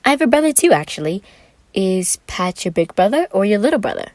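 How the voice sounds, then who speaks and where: A woman acts out an exuberant young man in an audio story. He talks in a flowery, theatrical way, pitched well up and projected, full of boastful delight. The recording is dry and close. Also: big pitch swings, brisk, a little mellow, no echo, close to an everyday voice. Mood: friendly, upbeat. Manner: dramatic, flowery, effusive. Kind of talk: fan fiction audio reading.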